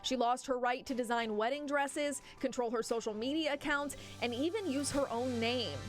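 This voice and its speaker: A woman's voice: sits high, normal speed, soft background music. A woman with an American accent reading scripted narration as a television news feature reporter.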